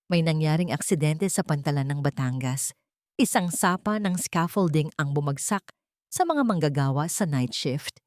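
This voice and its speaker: Lively-pitched Filipino woman narrating drama. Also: no echo, studio quality. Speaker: audiobook narrator. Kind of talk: dramatic first-person scripted narration.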